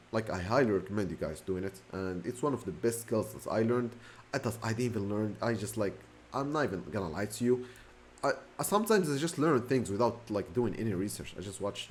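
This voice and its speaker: A man's voice: lowish voice, animated, a little mellow, a little hiss or hum. A young man from Morocco, whose first language is Moroccan Arabic, speaks English with a Moroccan accent in a casual, rambling vlog, correcting himself often and speeding up in bursts. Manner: rambling, self-correcting, fast bursts with fillers. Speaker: vlogger. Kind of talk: casual talking-to-camera story.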